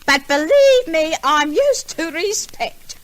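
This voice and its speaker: Shrill, touchy Australian household helper. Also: female voice, big pitch swings, takes it slowly, full character voice. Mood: indignant. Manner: ungrammatical, indignant, cooing baby talk. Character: working-class married woman helper, comically touchy, dim and proud. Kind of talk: old-time radio comedy acting. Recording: noisy old radio recording.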